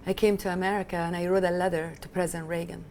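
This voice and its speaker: A woman's voice: fairly low pitch, flat delivery, rapid-fire, a little mellow, a little hiss or hum. A woman in her fifties from Afghanistan, whose first language is Italian, speaking passionately and emphatically as an interview guest. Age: 50s. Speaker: guest, film director and activist. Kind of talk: interview conversation.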